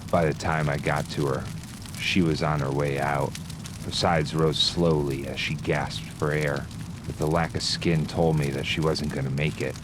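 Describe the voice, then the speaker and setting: Very deep, brooding hitman played by a man. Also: level tone, moderate pace, noisy background. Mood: grim, brooding. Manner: grim, terse, profane. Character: brooding hitman antihero with a rigid moral code. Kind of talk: hardboiled noir game narration. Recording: music behind the voice.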